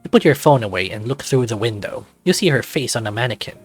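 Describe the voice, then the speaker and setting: A man's voice: mid-range voice, lively intonation, fast, husky, soft background music. A man narrating a scripted second-person story as a visual novel reader.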